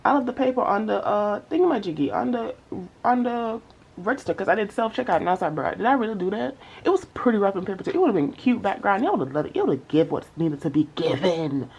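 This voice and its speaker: A woman's voice: mid-range voice, lively intonation, fast talker, vocal fry, a little mellow, husky, highs cut off. A young woman with a stuffy nose chatting casually to camera about her spending, slangy and addressing viewers as y'all. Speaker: budgeting vlogger.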